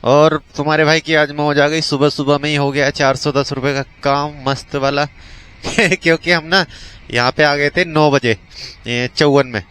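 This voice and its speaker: A man's voice: a little high, moderate pace, smooth. A man with a North Indian accent chatting casually in a daily vlog, informal and repeating his points as he goes. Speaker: vlogger and bike taxi rider. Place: outdoors on city roads and worksite. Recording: steady outdoor traffic and vehicle noise.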